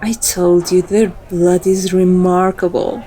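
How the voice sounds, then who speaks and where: Casting: female actor for seductive vampire woman, teasing and intimate, unhurried, soft dark timbre, ASMR roleplay. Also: lowish voice, level tone. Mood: playfully assured. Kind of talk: scripted ASMR roleplay acting.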